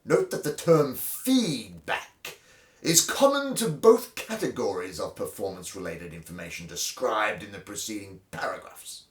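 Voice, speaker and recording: A man giving a mock-dramatic reading of a textbook, with hammy emphasis that swells suddenly and drops to a hush. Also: on the low side, sing-song, unhurried. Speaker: host of a dramatic reading series. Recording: roomy, distant microphone.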